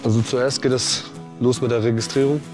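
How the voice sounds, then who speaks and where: A man in his late twenties from Afghanistan, a footballer calmly explaining things in a conversation. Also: mid-range voice, fairly quick. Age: 20s. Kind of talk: conversational interview. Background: guitar music.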